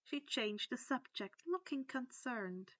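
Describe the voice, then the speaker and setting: A woman's voice: sits high, lively intonation, slow, a bit bright, dry recording, dull audio. A woman reading a scripted fan fiction story aloud as its narrator.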